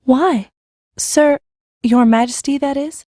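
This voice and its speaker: A woman's voice: high voice, slow pace, warm tone, dry recording, studio quality. A woman playing a shy, plain-spoken country girl in her early teens in a fantasy audiobook, naive and hesitant, with rustic grammar. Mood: puzzled. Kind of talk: full-cast audiobook acting.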